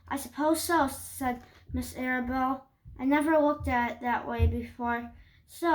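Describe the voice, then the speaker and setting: A woman reading a children's story aloud, haltingly, with stumbles and restarts. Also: sits high.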